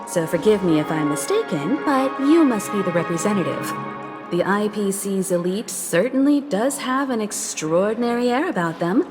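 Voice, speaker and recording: Game role: composed, persuasive young female diplomat voiced by a woman, expressive melody over clear background music. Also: medium pitch, close to an everyday voice. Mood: composed, flattering. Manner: courteous, elegant, persuasive. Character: poised, shrewd young female space-train navigator. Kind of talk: scripted video game dialogue. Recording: music behind the voice.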